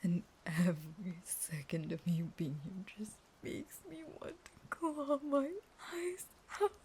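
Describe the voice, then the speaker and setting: A woman playing a caged, starving vampire in an intimate audio roleplay, half-whispering in a slow, taunting, pleading and unstable way. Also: on the low side, a little mellow, a little hiss or hum. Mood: furious, exasperated.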